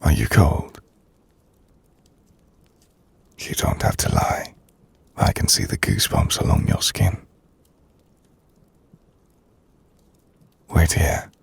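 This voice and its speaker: A man playing an ancient, brooding vampire lord in an intimate ASMR roleplay, speaking formally and drily in a gravelly, breathy near-whisper. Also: extremely low pitch, expressive, full character voice. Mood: quietly attentive. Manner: formal, measured, dry. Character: ancient brooding vampire lord, dryly teasing, courteous host.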